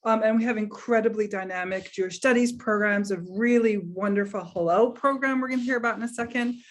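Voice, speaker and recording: A woman's voice: mid-range voice, fairly quick. Upbeat woman welcoming students on a video call. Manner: enthusiastic, upbeat.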